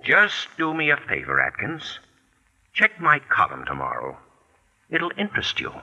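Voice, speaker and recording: A man playing an indignant, vengeful playwright and columnist in 1940s radio drama, arguing in a sharp, articulate way. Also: medium pitch, wildly swooping pitch, unhurried, low-quality recording. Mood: angry, threatening. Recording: 1940s radio broadcast, husky harsh sound.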